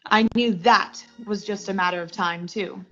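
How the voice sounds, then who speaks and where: A woman's voice: medium pitch, fairly even intonation, moderate pace, pushed and bright, soft background music, close to an everyday voice. Young woman acting a blunt radio-suspense career woman, dull recording. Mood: confiding, tense. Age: young adult. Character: ambitious, blunt, rattled young career woman narrating her story.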